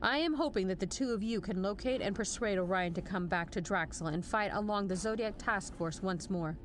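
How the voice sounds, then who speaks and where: A woman's voice: medium pitch, brisk, a little hiss or hum, close to an everyday voice. A woman playing a stern military general in an audio drama, formal and authoritative with a dry, wry edge. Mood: firm. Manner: formal, authoritative briefing delivery. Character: stern, commanding female military general, measured and dryly wry. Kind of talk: scripted audio drama acting.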